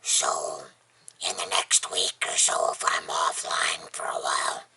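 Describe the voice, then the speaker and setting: A vlogger with a husky, breathy, gravelly voice, chatting casually in a rambling, folksy, plainspoken and unhurried way. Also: very deep voice, fairly even intonation, sharp tone. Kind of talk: casual rambling chat to camera.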